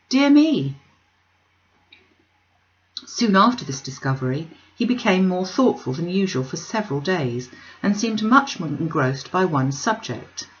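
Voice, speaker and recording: Female audiobook reader, fairly low pitch, literary narration, slightly dull recording.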